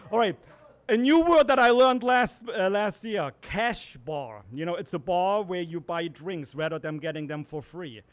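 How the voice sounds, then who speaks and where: A man with a German accent, performing a deadpan stand-up comedy set at an open mic. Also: high voice, unhurried. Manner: deadpan wordplay, self-correcting asides.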